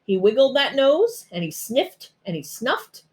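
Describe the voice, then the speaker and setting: A woman narrating a rhyming children's storybook aloud in an expressive, dramatic way. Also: high-pitched, a little slow. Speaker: storybook reader.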